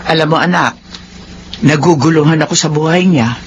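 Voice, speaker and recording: A woman's voice: deep voice, fairly quick, a little hiss or hum, close to an everyday voice. An actress playing a caring, worried older mother with a cautioning manner in a Filipino radio drama. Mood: concerned.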